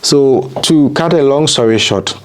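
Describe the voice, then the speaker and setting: A man recounts his experience in a casual interview. His delivery is earnest and halting, with frequent restarts and German words mixed in. His pitch sits in an ordinary middle range. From Ghana. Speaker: interview guest.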